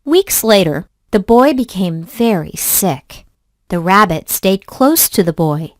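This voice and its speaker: A woman reading a children's story aloud in an expressive, deliberate storybook manner. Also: mid-range voice, dry recording, studio quality.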